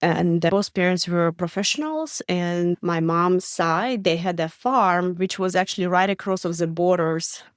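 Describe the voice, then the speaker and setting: A woman's voice: on the low side, a little slow, hardly any pauses, clean tone, no room sound, studio quality. A middle-aged woman from Ukraine with a Ukrainian accent and Russian as her first language, answering interview questions in an earnest way with small stumbles and non-native grammar. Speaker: guest, refugee aid director.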